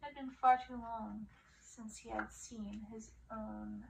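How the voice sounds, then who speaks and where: A woman with a fairly high, strongly creaky voice reads a dark fairy tale in ASMR style. She tells it dramatically, drawing out words and letting phrases trail toward a whisper. The mic sits somewhat distant, with faint noise behind her. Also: steady tone, slow. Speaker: audiobook reader. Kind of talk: ASMR reading of a dark fairy-tale story, breathy and hushed in places.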